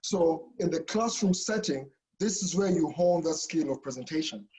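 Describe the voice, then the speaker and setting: A man's voice: on the high side, expressive, moderate pace, warm tone, rough recording. A man from Kenya, a professor talking casually in an online info session, with restarts and a habit of asking "okay?". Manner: chatty, frequent "okay?" and restarts.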